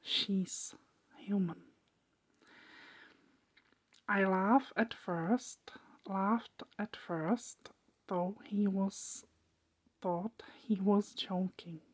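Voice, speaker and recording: A woman narrating a story as ASMR in a soft, hushed whisper, breaking it into short, deliberate phrases. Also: mid-range voice.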